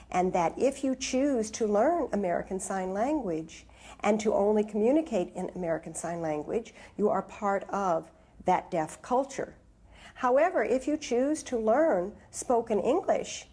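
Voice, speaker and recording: Female interviewee, medium pitch. Formal, instructive delivery, choosing words deliberately. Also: dark tone, husky. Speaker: oral deaf-education advocate. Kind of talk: documentary interview.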